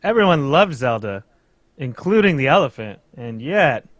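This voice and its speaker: A man's voice: mid-range voice, big pitch swings, moderate pace, a bit bright, dry recording. A man reading a pompous, florid old-fashioned actor in a live old-time radio table read. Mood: grandiose. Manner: florid, theatrical, says "me dear".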